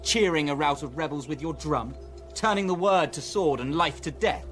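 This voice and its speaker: Proud young prince general, fairly high voice male actor. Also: normal speed, a bit bright, faint music behind, natural voice. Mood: scornful. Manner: declamatory, authoritative. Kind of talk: classical radio drama acting.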